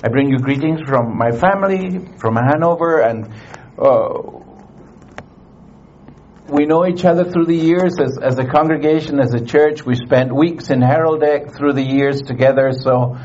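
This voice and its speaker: A man's voice: medium pitch, a little mellow, lo-fi sound, slight background noise. A man preaching in English in short phrases for an interpreter, with hesitant fillers and restarts. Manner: halting, many fillers and restarts. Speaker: guest preacher.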